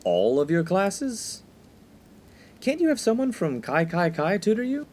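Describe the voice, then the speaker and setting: A man's voice: high voice, big pitch swings, measured pace, a little mellow, a little hiss or hum. A man playing a studious, proper young college freshman in a comic radio play, earnest and easily exasperated. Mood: wary. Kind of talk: 1950s-set comic radio play acting.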